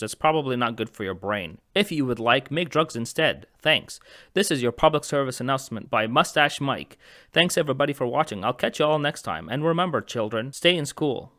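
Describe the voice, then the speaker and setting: A man's voice: medium pitch, fairly bright tone, no room sound, studio quality. A man from Egypt narrating a comedic childhood story, with rambling joking asides. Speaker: animation channel host.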